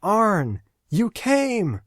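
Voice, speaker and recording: A woman's voice: medium pitch, wildly swooping pitch, very slow, no room sound, clean studio sound, voice pushed up, exaggerated voice. A man voicing an ecstatic, tearful young woman who speaks breathlessly between sobs. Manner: breathless, sobbing, pleading.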